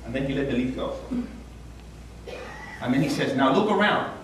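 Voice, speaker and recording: A man with a Puerto Rican accent giving an earnest, animated inspirational talk as a guest speaker at a church. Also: moderate pace, a little hiss or hum. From Puerto Rico. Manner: earnest, animated, rises in pitch when stressing a point. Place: large church hall. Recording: echoey hall, taken from far off.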